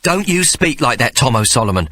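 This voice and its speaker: A man's voice: medium pitch, very animated, brisk, sharp tone, dry recording, voice pushed up. A man voicing a poor but loving mother who speaks firmly and emphatically. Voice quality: firm, emphatic.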